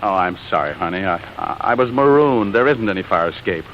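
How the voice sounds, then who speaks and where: Excitable smooth-talking leading man. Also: sits low, brisk. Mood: sheepish, coaxing. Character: smooth-talking, excitable young male sweetheart, a scheming showman. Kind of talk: 1940s radio comedy acting. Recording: dull, hissy 1940s radio broadcast recording.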